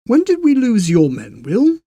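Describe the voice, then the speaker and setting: Male narrator as sly station master, evasive, prying, clipped, lifted high pitch, husky, storybook. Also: wildly swooping pitch, unhurried, warm tone, dry recording, dull audio, heavily acted. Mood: probing.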